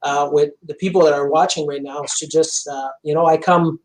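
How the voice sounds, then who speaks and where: Earnest man, flat delivery. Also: a little high, fairly quick, poor audio. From Montreal, Canada. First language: French. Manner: earnest, intense, many "you know" fillers. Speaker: chiropractor guest. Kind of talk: interview over video call.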